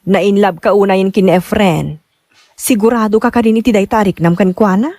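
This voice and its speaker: A woman playing a caring, cautious younger woman in an Ilocano radio drama, concerned and gently questioning. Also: mid-range voice, brisk, dry recording, studio quality, lightly acted. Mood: doubtful.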